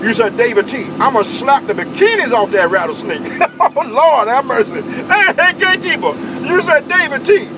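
Very high-pitched Southern man on CB radio. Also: moderate pace. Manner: animated, repetitive, teasing. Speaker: CB radio operator. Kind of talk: bantering CB radio chat. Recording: very noisy CB radio transmission.

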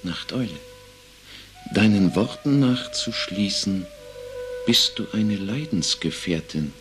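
A man's voice: fairly low pitch, lively intonation, slow pace, over music. A man voicing a noble, resolute caliph turned stork, solemn and commanding, in a children's puppet play. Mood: grave. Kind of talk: German children's puppet theatre acting.

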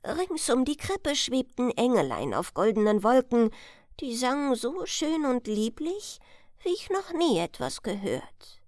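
A woman's voice: a little high, very animated, measured pace, a little mellow, no room sound, studio quality. A woman voicing a wise old male stork in a storybook tale, earnest and proclaiming solemnly. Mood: solemn wonder. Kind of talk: storybook character voice.

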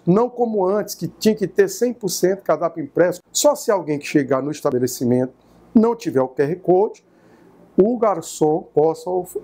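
A middle-aged man with a Brazilian accent lays out his reasoning deliberately and patiently. His voice is slightly high in pitch yet mellow and warm in tone. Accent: Brazilian Portuguese. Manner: deliberate, explanatory. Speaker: state legislator. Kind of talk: interview statement.

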